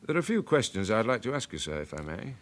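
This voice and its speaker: A British police inspector in a radio crime play. He is courteous, measured and relentless, with a fairly low voice and plenty of rise and fall. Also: sing-song, a bit bright. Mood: politely insistent. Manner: measured, deferential, insistent. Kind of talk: radio crime drama acting.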